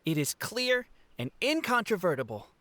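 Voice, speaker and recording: A woman's voice: medium pitch, sing-song, moderate pace, fairly bright tone. A woman playing an earnest, solemn, formal clan member in a video game. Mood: solemn certainty.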